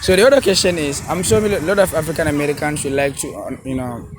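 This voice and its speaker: A man's voice: a little high, background noise. A man hosting an interview, addressing viewers and asking questions with hesitant restarts, backing his guest with many "mm-hmm"s. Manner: encouraging, frequent "mm-hmm" and "wow", halting questions. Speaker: interviewer, host.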